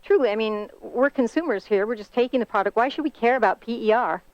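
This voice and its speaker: A woman's voice: a little high, quick pace, dry recording. Dull phone-line sound, chatty woman hosting. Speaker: host of a company conference call. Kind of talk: conversational scripted-question interview. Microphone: telephone conference call. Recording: band-limited, dull conference phone line.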